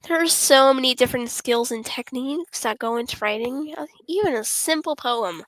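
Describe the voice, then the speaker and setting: A woman's voice: high voice, moderate pace, a bit bright, no echo, poor audio. A woman voicing a shy, apologetic young woman from a literature club in a visual novel game, with a hesitant, stammering delivery. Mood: earnest, hesitant.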